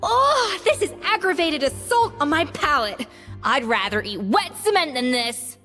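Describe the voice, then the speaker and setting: An actress playing a proud, sharp-tongued head chef with dry, cutting wit. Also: female voice, sits high, very animated, measured pace, heavily acted. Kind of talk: dubbed game dialogue. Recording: game audio, reverb, music under.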